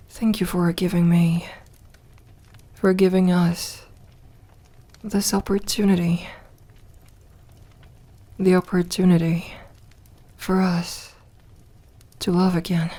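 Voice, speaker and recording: A woman's voice: lowish voice, level tone, mellow. A woman playing a lonely, lovestruck vampire girl in an intimate ASMR audio roleplay, tender and hesitant. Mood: tender, devoted. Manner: hesitant, halting, intimate, sometimes dropping to a whisper.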